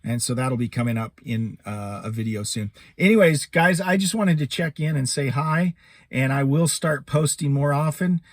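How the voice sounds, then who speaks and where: A man's voice: medium pitch, moderate pace, no echo. Middle-aged American man, dry close sound. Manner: conversational, chatty. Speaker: YouTube host and voiceover podcaster. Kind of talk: casual vlog update to camera. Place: inside a parked truck.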